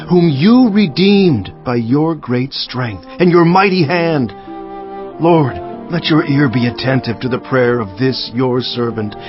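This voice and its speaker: A man playing a devout, earnest cupbearer who narrates his memoir in dramatized scripture, solemn and emphatic. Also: on the high side. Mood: fervent prayer. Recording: slightly dull audio with music behind. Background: music.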